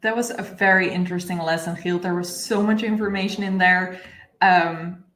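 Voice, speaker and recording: A woman's voice: fairly low pitch, fairly even intonation, normal speed, fairly bright tone. A young woman hosting a webinar conversationally over a video call. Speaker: webinar host. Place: indoor room, video call. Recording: echoey room on call.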